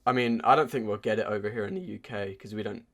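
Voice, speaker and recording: A man's voice: on the low side, fast, a bit bright. A man co-hosting a podcast, reviewing films in a casual, informal way as he thinks aloud. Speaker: podcast co-host. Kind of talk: casual film review chat.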